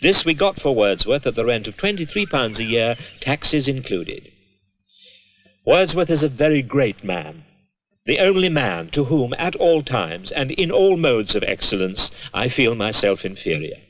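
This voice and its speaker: An actor playing a Romantic poet reading his letters in a measured, reflective and self-mocking manner. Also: mid-range voice, very animated, slight background noise. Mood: pleased, admiring. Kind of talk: dramatised literary reading of letters and verse. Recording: dull old 16mm film soundtrack.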